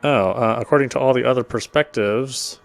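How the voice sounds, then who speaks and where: A man's voice: mid-range voice, moderate pace, vocal fry. A man reading a visual novel's story text aloud as he plays, sometimes stumbling and rereading phrases. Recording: faint game music behind.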